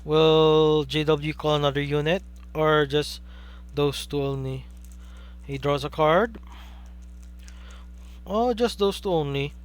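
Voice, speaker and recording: A young man from the Philippines with a Filipino accent, giving live play-by-play commentary on a card game stream, excitedly asking rhetorical questions and chatting with viewers. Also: a little high, slow pace, pauses often, clear, resonant tone.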